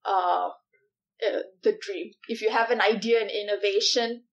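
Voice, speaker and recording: A woman's voice: medium pitch, fairly even intonation, moderate pace, dull audio. A woman in her thirties from Malaysia, a startup CEO talking through ideas in an interview, with many fillers and easy laughs. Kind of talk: in-person interview.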